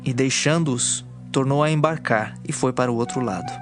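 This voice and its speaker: A man giving formal, measured scripted narration for a dramatized audio Bible. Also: medium pitch. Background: music.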